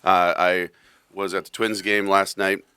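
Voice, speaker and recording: Hoarse radio host, very low voice, dry studio sound, loose rambling storyteller. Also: male voice, level tone, a little slow, pushed and bright, no echo. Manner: rambling storyteller, self-deprecating. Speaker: radio show host, real estate agent. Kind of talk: casual radio talk.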